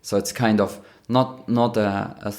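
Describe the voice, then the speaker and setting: Swiss man with a low voice. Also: fairly even intonation, measured pace, a little mellow. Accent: Swiss German. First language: Swiss German. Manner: thoughtful, restarts phrases often. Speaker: podcast co-host. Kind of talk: casual podcast chat.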